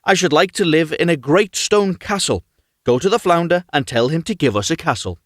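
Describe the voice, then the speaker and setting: A man's voice: fairly high pitch, expressive, a little fast, no echo, clean studio sound. A man voicing a bossy, greedy wife in a storybook tale, demanding and commanding.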